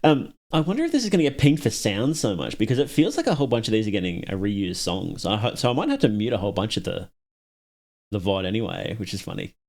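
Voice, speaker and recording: A man's voice: fairly low pitch, very animated, fast talker, darkish tone. A man streaming live, reacting casually and thinking aloud while echoing lines back to himself.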